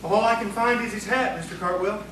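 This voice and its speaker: A man playing an earnest frontier townsman in a radio play, speaking in a measured, reflective storytelling way. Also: high-pitched, fairly bright tone, slight background noise. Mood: concerned, puzzled. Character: earnest frontier townsman narrating from his journal. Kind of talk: radio-play narration and acting. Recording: echoey, distant stage recording with music.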